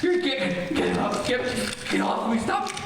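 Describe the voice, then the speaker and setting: Actor as high-pitched, obsessed man in echoey horror play. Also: big pitch swings, unhurried, gravelly, exaggerated voice. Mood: panicked, desperate. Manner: commanding, urgent, melodramatic. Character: reckless, obsessed man called Doc, driven to panic. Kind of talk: old-time radio horror play acting. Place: echoey room, live streamed performance. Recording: echoey, distant, noisy streamed stage audio.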